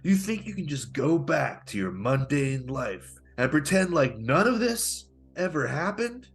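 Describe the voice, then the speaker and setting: A man playing a smug, menacing con-artist pickup guru in a comedic script read, with taunting, theatrical delivery and a husky voice. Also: fairly high pitch, very animated, soft background music, exaggerated voice. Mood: threatening. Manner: taunting, theatrical, deliberate threats.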